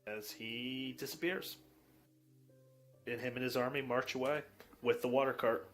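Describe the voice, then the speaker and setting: A man's voice: medium pitch, level tone, moderate pace, soft background music. A man narrating and improvising a tabletop fantasy game as game master.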